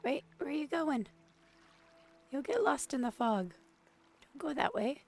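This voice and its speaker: A woman streaming a game while sick, her voice squeaky, chatting and muttering to herself. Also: expressive, a little slow, faint music behind. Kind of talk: casual gameplay commentary.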